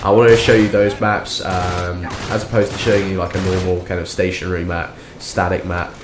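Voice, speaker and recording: A young man, croaky and nasal from a cold, rambling through a sarcastic gameplay commentary. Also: low-pitched, little pitch movement, a little fast, noisy background. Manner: rambling, sarcastic, many restarts. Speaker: gaming commentator. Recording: echoey room with faint game sound.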